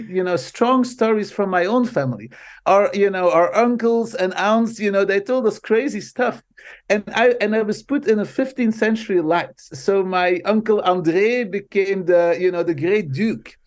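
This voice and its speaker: A middle-aged Belgian man with a Dutch-speaking accent and a notably high voice. He chats as a podcast guest in a relaxed, storytelling way, often repeating "so, so" and laughing lightly between phrases. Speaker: guest, marketing professor. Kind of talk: casual podcast conversation.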